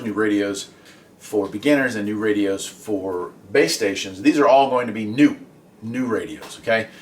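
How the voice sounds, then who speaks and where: A man hosting a hobby video, talking casually and explaining things in a conversational product review style, recorded in a garage. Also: mid-range voice. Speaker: host of a radio hobby video series. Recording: voice at a distance from the mic.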